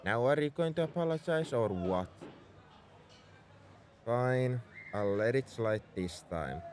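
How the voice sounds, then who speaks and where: Male actor as tsundere spaceship mech engineer for roleplay audio: lively, exasperated, scolding, secretly caring, mid-pitched, slight noise behind. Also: slow. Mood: grudging concern. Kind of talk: ASMR roleplay audio drama.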